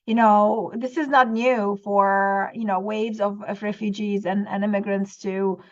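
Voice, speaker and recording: A woman hosting an interview asks her questions warmly and with clear admiration, pausing on "uh" and "um" as she goes. Her voice sits in a medium range. The sound is dull and lo-fi, with the high end cut away. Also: level tone. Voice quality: warm and admiring, hesitant fillers. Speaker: interview host, occupational therapist. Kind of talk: conversational interview.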